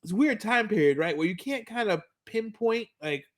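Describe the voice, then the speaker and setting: High-voiced man chatting on a fan podcast. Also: animated, normal speed. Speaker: co-host of a fan rewatch podcast. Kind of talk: casual chat, recapping and commenting on a cartoon.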